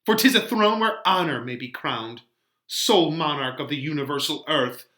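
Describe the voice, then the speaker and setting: A man performing a distraught, passionate teenage bride in a melodramatic, drawn-out Shakespeare reading. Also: a little high, pushed and bright. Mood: indignant.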